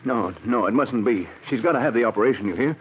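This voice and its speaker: An actor playing an anxious, devoted husband in a 1940s radio drama, with a hesitant, earnest manner. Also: male voice, mid-range voice, animated, fast talker, close to an everyday voice. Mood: distressed. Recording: muffled, hissy vintage radio recording.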